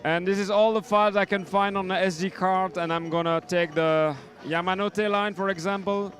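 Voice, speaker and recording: A man giving an informal product demonstration as a synth maker, explaining in a halting way. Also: high voice, fairly even intonation, fairly bright tone, faint music behind. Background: electronic synth sounds and beats.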